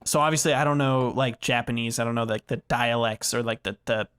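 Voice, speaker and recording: A man with a mid-pitched voice in loose, rambling conversation as a gaming podcast co-host. He talks casually, circles around his points and keeps a joking tone. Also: fairly even intonation, brisk, a bit bright, smooth. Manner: rambling, filler-heavy, joking. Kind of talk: casual rambling chat about video games.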